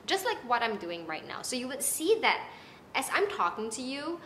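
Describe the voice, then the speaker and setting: A young woman from Malaysia with a Malaysian accent, giving casual, animated advice to the camera from her living room. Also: sits high, normal speed, slight background noise. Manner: chatty and animated, gesturing with her hands. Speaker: content creator. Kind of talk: casual talking-head vlog advice. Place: small apartment living room, indoors.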